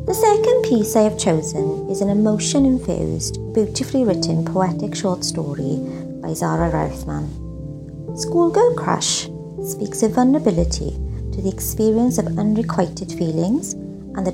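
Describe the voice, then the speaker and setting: A woman's voice: fairly low pitch, gravelly. A woman giving a scripted, articulate introduction as a guest presenter.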